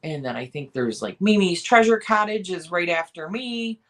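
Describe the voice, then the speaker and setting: A hoarse woman with a cough, hosting a casual live sale and chatting in a rambling way with many pauses and restarts. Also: on the low side, lively intonation. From Michigan, USA. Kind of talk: casual live-stream chat.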